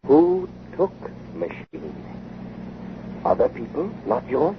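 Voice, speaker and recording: A man's voice: mid-range voice, sing-song, slow. An actor playing an intense, restless male inventor, with insistent theatrical delivery in an old-time radio drama. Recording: noisy, muffled vintage radio recording.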